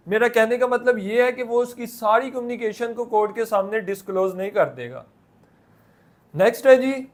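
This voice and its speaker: A man with a Pakistani accent speaks in a distinctly high-pitched voice. He lectures like a teacher of law, laying out each point step by step. He often rephrases what he has said, mixing in English technical terms. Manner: didactic, step-by-step, repeats and paraphrases points. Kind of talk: explanatory lecture, Urdu mixed with English legal terms.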